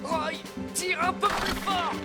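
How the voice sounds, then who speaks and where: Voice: very high voice, steady tone, slow pace. An actor voicing a brave young rescue car who leads the team in a children's cartoon, earnest and commanding. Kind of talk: French-dubbed children's cartoon acting. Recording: loud music behind.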